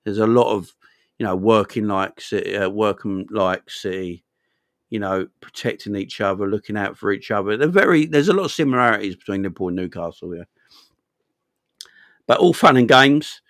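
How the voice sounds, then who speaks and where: A man hosting a casual football chat, rambling, animated and a bit sweary. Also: lowish voice, normal speed, no echo, studio quality. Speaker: football channel host.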